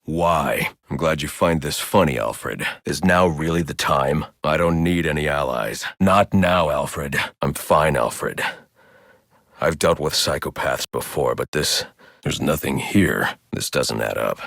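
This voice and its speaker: An actor voicing a grim, driven masked vigilante detective in a video game, with a gravelly deep voice and terse, stern delivery. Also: male voice, a little slow, fairly bright tone, dry recording, studio quality, full character voice. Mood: cold, menacing. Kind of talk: video game voice lines, scripted hero dialogue.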